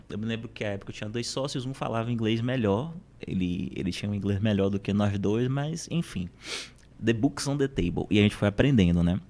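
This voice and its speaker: A Brazilian man speaking as a podcast guest, explaining things in a conversational, motivational way with rhetorical questions and emphatic repetition. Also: mid-range voice.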